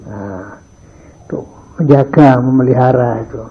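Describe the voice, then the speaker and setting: A man's voice: mid-range voice, measured pace, breathy edge. An older man teaching boarding school students in a morning religious lesson, slipping phrases into his talk and acting out little dialogues. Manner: mixes in Javanese phrases, acts out dialogues in his stories. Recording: dull lo-fi archive recording.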